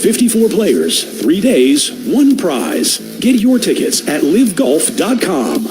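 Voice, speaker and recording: A man's voice: sits high, wildly swooping pitch, slow, a little mellow. A man reading a scripted, dramatic radio promo for a sports event. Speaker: commercial announcer. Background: music.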